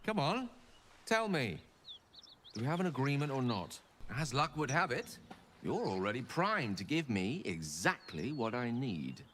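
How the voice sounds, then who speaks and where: A man's voice: mid-range voice, very animated, unhurried, a bit bright, a little hiss or hum. An actor playing a courteous, secretive male wizard, speaking in a refined, theatrical way. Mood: impatient.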